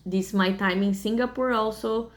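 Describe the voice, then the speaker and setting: Brazilian-accented young woman, vlogger; casual, hesitant delivery, mid pitch. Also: fairly even intonation. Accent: Brazilian Portuguese. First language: Portuguese. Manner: halting and hesitant, many fillers. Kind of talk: casual vlog chat.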